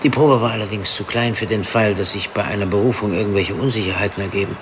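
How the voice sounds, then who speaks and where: An actor playing a teasing, unhurried police forensic expert in a radio drama, talking in a wry, easygoing way. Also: male voice, fairly low pitch, lightly acted. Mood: wry, factual. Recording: clearly noisy background ambience.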